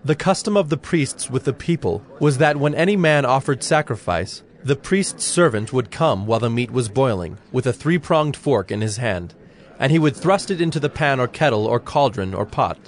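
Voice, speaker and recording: A man's voice: mid-range voice, animated, normal speed. A man narrating scripture from a script in a solemn style.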